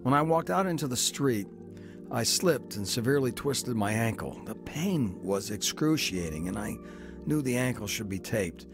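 A man's voice: mid-range voice, animated, normal speed, over music, close to an everyday voice. An actor playing a down-on-his-luck man who narrates his own story in a radio drama. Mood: reflective.

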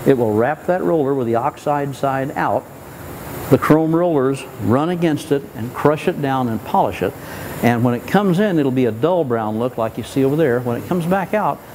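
An older American man in his sixties or seventies explains a process in a measured, plain-spoken way, as if guiding a tour. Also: medium pitch, a little mellow, slight background noise, rough recording. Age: 60s or 70s. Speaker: company president and factory guide. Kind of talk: explanatory factory tour talk. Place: large indoor industrial factory hall.

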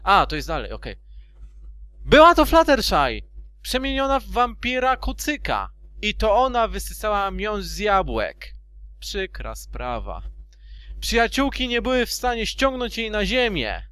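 A man's voice: high voice, huge pitch swings, a little slow, pushed and bright, clean tone. A man narrating a storybook in an amateur fan dub, theatrically and with loose asides.

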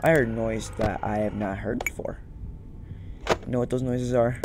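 A man's voice: mid-range voice, flat delivery, normal speed, heavy background noise. A young man giving casual let's-play commentary, muttering to himself and repeating phrases. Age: young adult. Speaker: gaming YouTuber. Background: game music.

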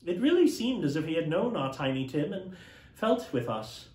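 A man voicing a gentle, grieving working father who speaks earnestly and tenderly. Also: on the high side, big pitch swings, moderate pace. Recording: roomy echo.

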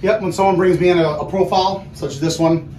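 Male mill guide, high pitch, informal walking-tour delivery, noisy machinery hum behind. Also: steady tone, fast, warm tone. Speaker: mill worker giving a tour. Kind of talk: casual explanatory walking tour. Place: large woodworking mill and lumber warehouse.

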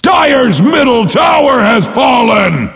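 A boastful brute warrior announces a video game in a high, gravelly bellow. He is pompous and brash, swinging wildly in pitch, with a long reverb tail on his voice. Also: sing-song, takes it slowly, a bit bright, shouting, heavily acted. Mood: booming, forceful. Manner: pompous, speaks of himself in third person.

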